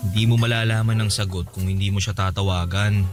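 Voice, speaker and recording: A man's voice: lowish voice, fairly even intonation, fast, music in the background, lightly acted. An actor playing an easygoing, teasing young working man with a Filipino accent, in conversational radio drama style. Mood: casual, teasing.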